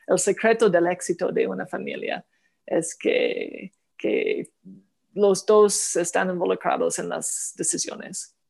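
A middle-aged woman from Canada with a clear Canadian English accent, speaking as a diplomat in an interview. She talks thoughtfully, pausing on fillers and restarting phrases as she searches for words. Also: medium pitch, darkish tone. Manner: thoughtful, frequent hesitations and restarts. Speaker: diplomat guest. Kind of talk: interview answers.

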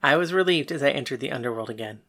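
A woman's voice: very deep voice, expressive, rapid-fire, fairly bright tone, full character voice. A woman playing a bitter, defiant god of death in a first-person audio drama. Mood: brooding.

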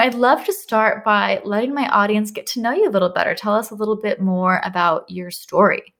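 Woman podcast host, mid pitch, enthusiastic and affirming. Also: fairly bright tone. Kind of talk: conversational interview.

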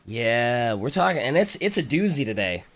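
A man co-hosting a podcast, talking casually with a raspy, congested voice while getting over a cold, hesitant and full of fillers. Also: mid-range voice, big pitch swings, moderate pace. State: recovering from a cold. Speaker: podcast co-host. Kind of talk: casual chat.

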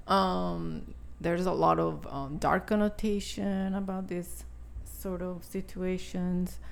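A woman in her sixties from Italy, speaking English with an Italian accent as a podcast guest, talking in a halting way with many fillers and restarts. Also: lowish voice, gravelly. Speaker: podcast guest, spiritual teacher. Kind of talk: casual conversation.

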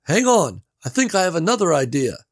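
A jittery young guy, as a male narrator puts him on, pitched well above the narrator's natural voice and pushed loud. He sounds earnest and anxious, quick to run himself down. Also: big pitch swings. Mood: eager. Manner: earnest, anxious, self-deprecating. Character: nervous eager young man stuck in vent.

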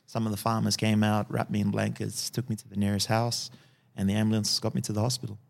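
Podcast guest: man, early 40s, low gravelly voice, calm and steady, tags lines with "yeah?". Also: fast. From Fiji. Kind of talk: personal storytelling in a podcast interview.

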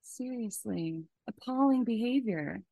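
A woman's voice: fairly high pitch, expressive, unhurried, vocal fry, dark tone, no echo. A woman co-hosting a podcast, giving casual and disapproving commentary. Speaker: podcast host.

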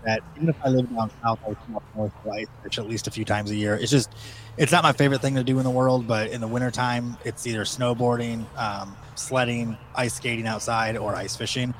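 Man, thirties, from Michigan, quick rambling talk, casual podcast chat, faint hiss. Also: mid-range voice, fairly even intonation, low-quality recording. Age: 30s. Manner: talkative, rambling with fillers. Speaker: guest angler.

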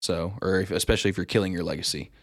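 A man's voice: deep voice, steady tone, very fast, dry recording, studio quality. A man co-hosting a sports podcast, talking casually and conversationally.

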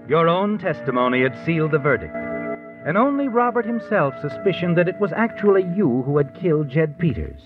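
An American actor playing a knowing, sinister narrator in 1950s radio suspense, insinuating and ominous, on a tinny old recording with music behind him. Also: male voice, on the high side. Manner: ominous, insinuating, dramatic, deliberate. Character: knowing, sinister, taunting male omniscient storyteller.

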